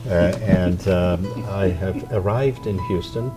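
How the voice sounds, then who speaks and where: A middle-aged man from Poland with a Polish accent, a violin professor answering interview questions in a measured, reflective way with frequent hesitations. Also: sits low, music in the background. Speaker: violin professor and orchestra co-founder.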